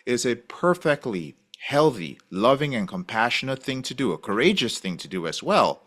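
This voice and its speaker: A man who is a podcast guest, talking in an animated, casual way and acting out the voices he quotes. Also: mid-range voice, moderate pace. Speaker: podcast guest, recovery program founder.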